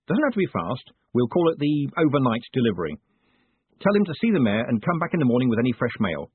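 A sharp-witted young con man gives quick orders and dry quips, played by a man. His husky voice rises and falls widely. The sound is dry and squeezed thin, as if over a phone. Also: mid-range voice, sing-song, dry recording, phone-quality sound. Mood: brisk. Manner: brisk, scheming, sardonic. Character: quick-thinking young male con man turned postmaster.

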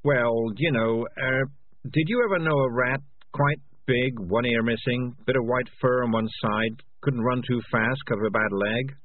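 A man's voice: mid-range voice, measured pace, dry recording, narrow, tinny audio, pitched above the performer's own voice, lightly acted. A man voicing a talking tomcat in a storybook, gloomy, defensive and guilt-ridden, blustering and evasive. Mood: uneasy, evasive.

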